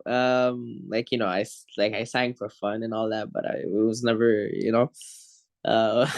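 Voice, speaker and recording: A young man from Morocco, a musician guest on an interview show, talking in a relaxed, rambling way and laughing easily. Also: lowish voice, moderate pace, highs cut off. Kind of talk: casual interview.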